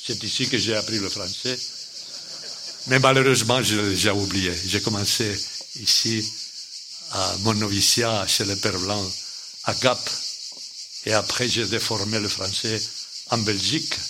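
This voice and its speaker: An older man preaches at a very slow pace, pausing between short phrases in the French he learned as a second language. His voice sits fairly low. A steady hiss of noise and chirping insects runs under the recording. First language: not French. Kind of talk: sermon.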